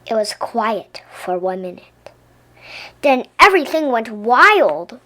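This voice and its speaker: A woman reading a children's story aloud as an expressive narrator. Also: a little high, slow. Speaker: storybook reader.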